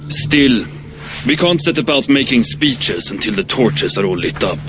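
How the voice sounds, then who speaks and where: An actor playing a blunt, grumbling, proud dwarf craftsman in a video game cutscene, gruff and grudging in manner. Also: medium pitch, lively intonation, a little slow, over loud music, lightly acted. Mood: awkward, grudging.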